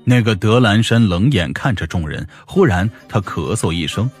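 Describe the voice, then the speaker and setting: A man's voice: on the low side, expressive, normal speed. A man narrating a scripted audiobook story. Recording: music behind the voice.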